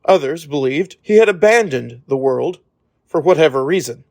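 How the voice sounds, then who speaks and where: Higher-voiced man narrating a fantasy audiobook. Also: expressive, normal speed, creaky, a little mellow.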